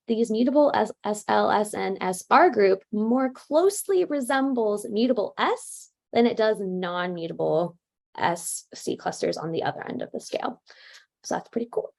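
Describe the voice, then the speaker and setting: A woman's voice: mid-range voice, creaky, darkish tone. Casual woman giving a conference talk. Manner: casual, many fillers and self-corrections. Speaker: conference presenter.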